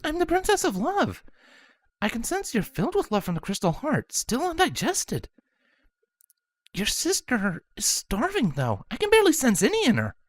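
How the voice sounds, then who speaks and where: A woman voicing a kind, gentle pony princess who speaks softly in a storybook reading. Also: high-pitched, huge pitch swings, moderate pace, no room sound, clean studio sound, pitched above the performer's own voice, exaggerated voice. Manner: soft and gentle.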